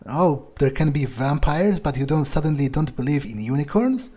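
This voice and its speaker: A man's voice: fairly high pitch, animated, moderate pace, warm tone. A man role-playing his character in a video game playthrough, thinking aloud in a musing, hesitant, sardonic way. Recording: faint background game ambience.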